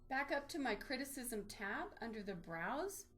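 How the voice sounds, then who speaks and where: A woman's voice: a little high, very animated, moderate pace, a bit bright. A woman giving an informal, unscripted instructional walkthrough, explaining things step by step as she demonstrates on screen. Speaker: librarian giving a database tutorial.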